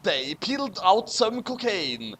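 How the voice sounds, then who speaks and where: Animated high-voiced man reading theatrically. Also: slow. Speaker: fan fiction reader, voice performer. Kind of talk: dramatic comic reading with casual asides.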